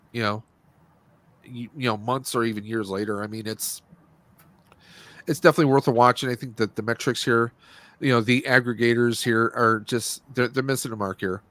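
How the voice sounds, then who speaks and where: A man's voice: mid-range voice, fairly bright tone, no echo. A man hosting a casual movie review podcast, speaking in a blunt, opinionated and rambling way. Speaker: podcast host.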